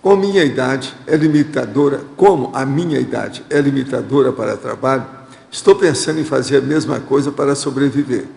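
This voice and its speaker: Brazilian male host, gravelly voice, direct advising tone, echoey hall sound. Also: mid-range voice, fairly quick, darkish tone. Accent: Brazilian Portuguese. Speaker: host reading viewer questions. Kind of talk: studio Q&A, answering questions.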